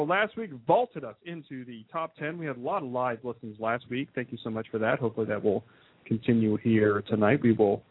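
Husky man hosting over a thin line. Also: mid-range voice, no room sound. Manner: laid-back, filler-heavy, joking. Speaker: podcast host, doctor. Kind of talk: casual radio-style podcast hosting. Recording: thin, phone-like sound.

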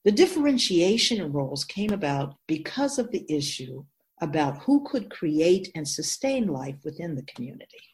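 A woman playing a wise otherworldly spirit in a radio drama, explaining things patiently in a measured, knowing way. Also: sits low, big pitch swings, darkish tone. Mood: didactic. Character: Keeper of Souls, wise otherworldly spirit in female voice.

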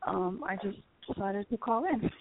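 A woman's voice: mid-range voice, animated, moderate pace, no room sound. A chatty woman in her fifties calling in to a radio show for a casual, teasing chat over a tinny phone line. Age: 50s. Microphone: phone call.

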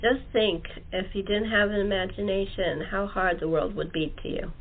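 A woman talk show host in casual group chat, speaking in a halting, chatty way and often repeating words. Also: medium pitch, narrow, tinny audio. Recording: thin, band-limited call audio.

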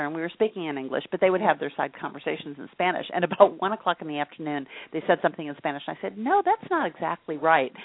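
A woman's voice: sits low, no room sound. A woman hosting an interview, chatting and telling stories in a loose, talkative way with many restarts. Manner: chatty, storytelling, frequent restarts. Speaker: host. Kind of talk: casual interview talk. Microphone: phone. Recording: tinny phone line.

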